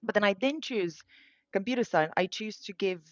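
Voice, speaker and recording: A woman's voice: mid-range voice, moderate pace, dry recording, dull audio. A woman in her thirties from Romania with a Romanian accent, a guest in a casual interview, talking hesitantly with frequent fillers and restarts. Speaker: guest, sales engineer.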